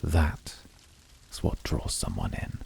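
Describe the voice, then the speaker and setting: A man playing a very deep, gravelly brooding incubus. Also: animated, exaggerated voice. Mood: quietly seductive. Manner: measured, quietly seductive, intimate. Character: calm, brooding male incubus, subtle seducer. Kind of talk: scripted flirty ASMR roleplay.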